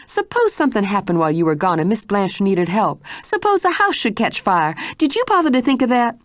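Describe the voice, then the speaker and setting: A woman's voice: medium pitch, sing-song, fairly quick, no room sound, clean studio sound, pitched above the performer's own voice, lightly acted. Woman doing a stern scolding housekeeper. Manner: scolding, forceful.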